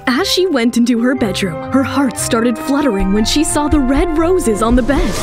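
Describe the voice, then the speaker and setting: A woman delivering dramatic scripted narration in an emphatic storytelling manner. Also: sits high. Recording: music under the voice. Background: dramatic background music.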